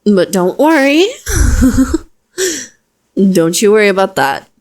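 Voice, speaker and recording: A woman playing a chatty, flustered young wizard in an audio roleplay, speaking straight to the listener in a hesitant, expressive, conversational way. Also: medium pitch, slow pace. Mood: explaining, reassuring. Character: flustered young female wizard, chatty, earnest, self-deprecating.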